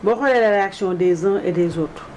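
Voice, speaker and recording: Senegalese woman interviewer, medium pitch. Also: a little fast, darkish tone, slight background noise, poor audio. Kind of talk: conversational political interview.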